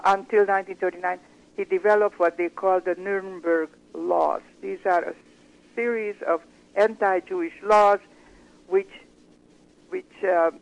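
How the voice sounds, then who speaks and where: An older woman from Hungary, a guest giving her personal testimony slowly, with measured, searching pauses. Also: fairly low pitch, gravelly, muffled recording.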